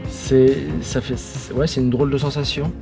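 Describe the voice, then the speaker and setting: Man chatting haltingly over music. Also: medium pitch, flat delivery. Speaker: guest at a documentary premiere. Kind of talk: casual heartfelt chat.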